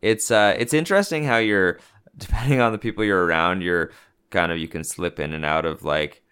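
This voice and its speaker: A man's voice: sits low, brisk, fairly bright tone, clean tone. A young Canadian man with a Canadian accent, co-hosting a podcast in casual, sarcastic, rambling chat full of fillers. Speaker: podcast co-host.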